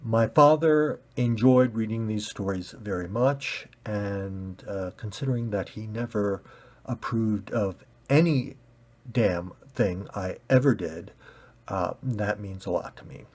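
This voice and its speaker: A man reading his own children's-style story in an expressive storytelling manner, as an amateur author. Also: fairly low pitch, slow.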